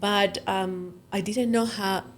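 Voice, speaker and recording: A woman from the Dominican Republic tells her life story as a guest, warm and quick to laugh. Her pitch sits in the middle, and there is faint noise in the background. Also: fairly even intonation. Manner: warm, laughs between lines. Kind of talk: interview storytelling.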